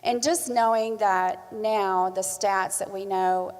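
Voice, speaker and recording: A woman's voice: mid-range voice, steady tone, takes it slowly, a little mellow. Earnest woman panelist in a hall. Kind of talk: personal panel answer. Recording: long reverb of a large hall.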